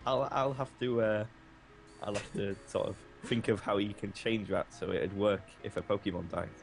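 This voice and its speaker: A man's voice: lowish voice, steady tone, normal speed, vocal fry, soft background music. A man joining a game stream who chats casually, mostly agreeing and laughing along. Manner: short agreeing replies, easy laughter. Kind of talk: casual chat.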